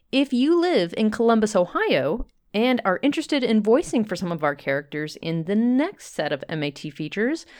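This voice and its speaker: A woman hosting a radio drama show, reading a scripted introduction in a polished presenter manner. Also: mid-range voice. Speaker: host. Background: music.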